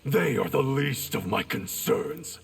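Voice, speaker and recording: Stern warrior, deep fry in the voice, unhurried, game dialogue. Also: male voice, animated, full character voice. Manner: cold, stern, measured. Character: cold ambitious male clan warrior, stern. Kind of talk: video game character voice acting.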